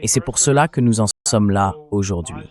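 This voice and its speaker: A man's voice: lowish voice, expressive, fairly quick, darkish tone. A man speaking as a professor guest, explaining in a firm, emphatic way. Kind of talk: dubbed interview commentary. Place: in Tehran.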